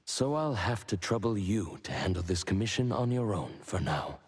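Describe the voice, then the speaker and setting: An actor playing a composed, formal agency superior in a video game, speaking in a measured, businesslike and polite manner. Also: male voice, on the low side, animated, long reverb tail, a little hiss or hum, natural voice.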